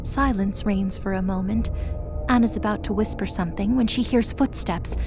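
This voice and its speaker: A woman's voice: mid-range voice, moderate pace. A woman narrator reading a scripted dramatic story. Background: music bed.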